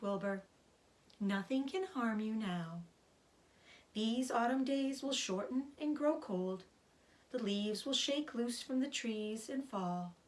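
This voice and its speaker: A woman voicing a gentle, weary, dying female spider in a children's storybook, softly and slowly, often near a whisper. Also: a little high. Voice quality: soft, breathy, often whispered. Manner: slow, measured, soothing delivery. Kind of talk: children's storybook read-aloud.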